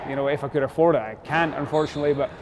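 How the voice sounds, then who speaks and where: A middle-aged man with a Scottish accent explains his sport in a calm, considered interview. Clear background noise runs under his voice. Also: a little high, racing. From Scotland. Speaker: head squash coach.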